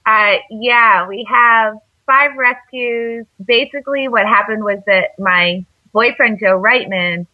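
A woman's voice: a little high, takes it slowly, few pauses, no echo, lo-fi sound. A woman guest on a radio interview show, telling stories casually, rambling with lots of fillers. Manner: rambling, many fillers and restarts. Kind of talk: casual storytelling.

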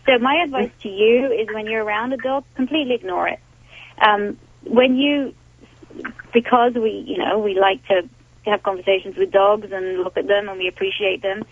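A woman's voice: medium pitch, fairly even intonation, moderate pace, husky, no echo. Woman dog trainer over a tinny phone line. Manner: explains with frequent fillers and restarts. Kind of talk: expert advice on a call-in radio show.

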